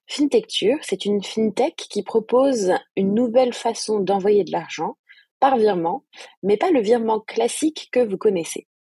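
A woman's voice: medium pitch, warm tone, slightly rough. A woman hosting an interview podcast, reading introductions and putting questions to a guest. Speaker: podcast host.

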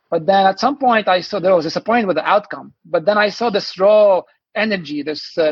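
A man's voice: sits high, husky, no room sound, highs cut off. A man from Lebanon, a venture investor, telling his background in a podcast interview in a fluent, storytelling way. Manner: fluent, storytelling, some restarts.

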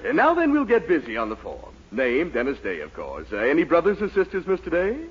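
Officious examiner with wildly swooping pitch. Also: male voice, wildly swooping pitch, a little fast. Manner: formal, officious, wryly indulgent. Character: fussy, amused, exasperated male postal examiner. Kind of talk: 1940s radio sitcom acting. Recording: muffled, very noisy 1940s radio recording, loud audience.

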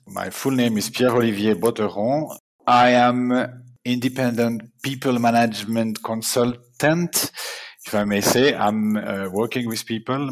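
A man in his fifties from Switzerland speaks as a podcast guest, with a fairly low voice. He talks in a measured way, with fillers and small restarts. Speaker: guest, people management consultant. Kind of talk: podcast interview.

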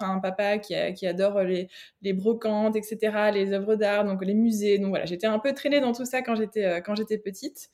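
A woman from France with a French accent, an interior designer speaking as a guest, talking haltingly with many fillers and restarts. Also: mid-range voice, a little mellow. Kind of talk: casual interview.

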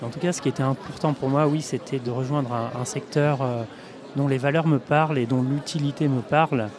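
A man with a mid-range voice answers questions at a trade fair. He speaks in careful, measured phrases and often hesitates, repeating words as he searches for the right one. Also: medium pitch, fairly even intonation, a crowd in the background. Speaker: in-house lawyer, guest. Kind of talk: event interview answers.

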